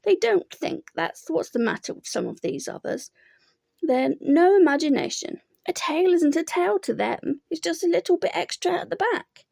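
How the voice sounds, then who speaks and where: A woman's voice: very high voice, big pitch swings, normal speed, vocal fry, darkish tone, dry recording, voice pushed up, heavily acted. A woman voicing a gloomy, self-pitying old male donkey who grumbles. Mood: grumbling.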